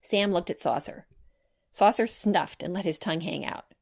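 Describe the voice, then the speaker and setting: A woman narrator with a lowish voice reads a children's storybook. She delivers scripted narration as the author telling her own story. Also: normal speed, creaky, a little mellow.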